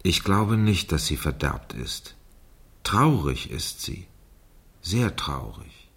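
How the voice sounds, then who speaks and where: A brooding crime-story narrator with a deep, husky voice. He speaks slowly and deliberately, in the measured style of a radio play. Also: sing-song, fairly bright tone, heavily acted.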